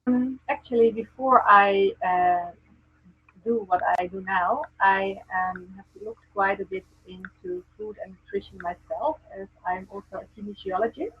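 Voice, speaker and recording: A woman's voice: mid-range voice, steady tone, measured pace, a little hiss or hum. A woman from the Netherlands with a Dutch accent, chatting earnestly as a guest on a video call with many ums and restarts. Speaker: guest, presence coach. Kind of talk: casual video call chat. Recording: tinny phone-like video call audio.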